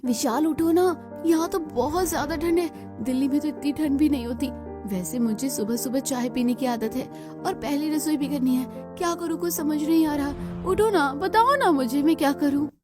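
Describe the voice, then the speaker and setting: A woman voicing a dreamy, eager, excitable young bride in a cartoon story. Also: high voice, normal speed, over music, close to an everyday voice. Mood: anxious.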